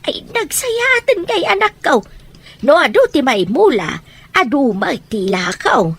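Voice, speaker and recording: An outspoken Filipino mother and wife , acted by a woman in a radio drama. Her voice sits high, and she teases as readily as she scolds. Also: big pitch swings, a little slow, a bit bright, full character voice. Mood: everyday, chatty. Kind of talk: radio drama acting in Ilocano.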